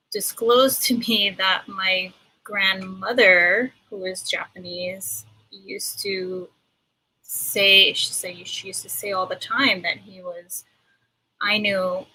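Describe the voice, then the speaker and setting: A woman from Hawaii sharing personal reflections as a panelist, halting and thoughtful as she searches for words. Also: medium pitch, sharp tone, low-quality recording.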